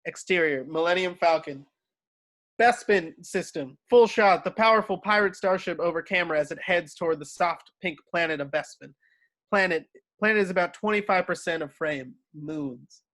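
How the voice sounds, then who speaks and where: A man's voice: high-pitched, moderate pace, fairly bright tone. A man reading screenplay stage directions aloud as scripted narration.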